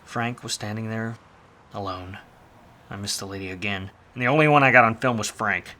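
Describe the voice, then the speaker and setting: Man acting a hardboiled noir detective, low and sharp. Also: normal speed. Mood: brooding, wry. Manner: wry, slangy, tough-guy delivery. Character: hardboiled, washed-up male private detective, wisecracking and world-weary. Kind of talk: film noir radio play, first-person narration and dialogue.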